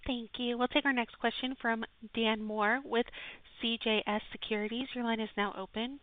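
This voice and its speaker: A woman's voice: a little high, fairly even intonation, normal speed, no echo. A woman reading scripted instructions as a conference call operator over a telephone line. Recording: dry, narrow telephone line.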